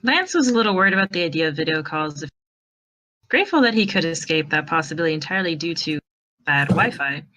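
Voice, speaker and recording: A woman with a fairly low, bright and forceful voice reads as a narrator. She delivers fan fiction prose expressively, as in an amateur audiobook. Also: lively intonation, quick pace, low-quality recording.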